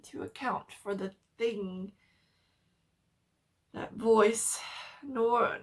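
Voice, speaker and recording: A woman narrating a horror story as a dramatic reading, theatrical, with halting pauses for effect. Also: mid-range voice, low-quality recording.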